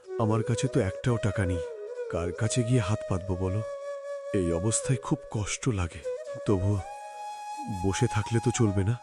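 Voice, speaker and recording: Man acting a low, sorrowful poor Bangladeshi husband. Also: moderate pace, pauses often, lightly acted. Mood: worried, resolved. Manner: plaintive, sorrowful, pleading. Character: despairing, proud, poor middle-class husband. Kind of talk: dramatic cartoon story acting. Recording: loud music bed under voice. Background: music.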